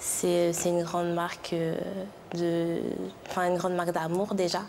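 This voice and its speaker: A woman's voice: on the low side, monotone, measured pace, a little mellow, slight background noise. A woman in her twenties answering carefully and hesitantly in a TV studio, full of fillers. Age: 20s. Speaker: guest. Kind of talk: TV talk show reply. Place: large TV studio with audience. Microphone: lapel mic.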